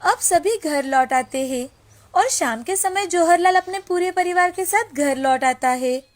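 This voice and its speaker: A woman's voice: very high voice, unhurried. A woman storyteller reading scripted moral tales as a narrator.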